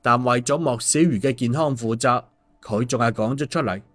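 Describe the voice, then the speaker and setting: A male audiobook storyteller, narrating a scripted tale at an ordinary middle pitch. Soft music plays behind his voice. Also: moderate pace. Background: background music.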